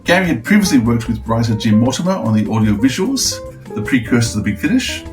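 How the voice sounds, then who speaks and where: A man's voice: mid-range voice, very animated, fast. Male podcast co-host over music. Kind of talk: reading notes, then casual review chat.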